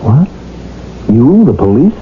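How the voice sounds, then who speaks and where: An actor playing a middle-aged doctor who tells his tale in a measured, grave radio drama style. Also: mid-range voice, sing-song, slight background noise. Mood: alarmed. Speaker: narrator and doctor character. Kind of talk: radio drama acting, storytelling. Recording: dull lo-fi old radio broadcast.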